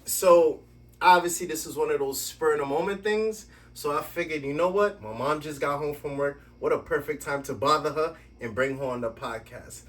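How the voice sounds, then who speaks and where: A man hosting a podcast from a basement home studio, giving lively, playful introductions and questions. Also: fairly high pitch, normal speed, recorded from a distance. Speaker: podcast host. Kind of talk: podcast interview.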